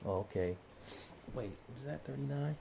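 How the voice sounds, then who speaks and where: A man's voice: medium pitch, lively intonation, moderate pace, gravelly, noisy background. A man telling a long, casual story to his viewers in a loose way, full of fillers and restarts. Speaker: vlogger answering viewer questions.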